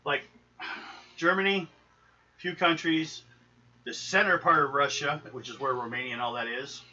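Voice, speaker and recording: Male game master: higher-pitched, forceful edge, halting rambling delivery, casual tabletop narration. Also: steady tone, distant mic. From United States. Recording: dull lo-fi live recording with hiss.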